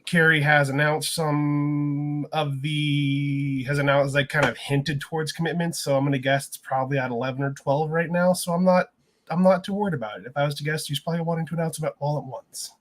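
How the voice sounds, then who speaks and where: A man's voice: on the high side, steady tone, fairly quick, fairly bright tone. A man co-hosting a casual sports podcast, talking in a confident, joking way. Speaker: podcast co-host.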